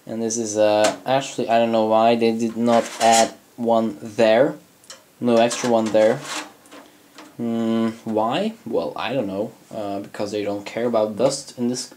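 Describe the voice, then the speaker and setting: A man's voice: mid-range voice, a little mellow. A young man with a cold and a slightly scratchy voice, casually walking through a hardware review, rambling with fillers and self-corrections. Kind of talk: casual product review walkthrough. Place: indoors at a desk, handling a PC case.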